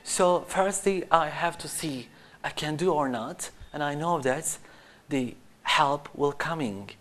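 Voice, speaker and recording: A designer gives a casual interview in English. The voice sits at a middle pitch. The delivery is halting, with short phrases and frequent searching for the right word. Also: a bit bright. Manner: halting, searching for words in non-native English. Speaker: costume designer being interviewed.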